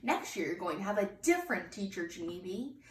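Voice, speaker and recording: A woman voicing a patient, polite kindergarten teacher from a storybook, explaining things gently. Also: mid-range voice, sing-song, fairly quick, natural voice. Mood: patient insistence. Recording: echoey room, distant mic, faint noise.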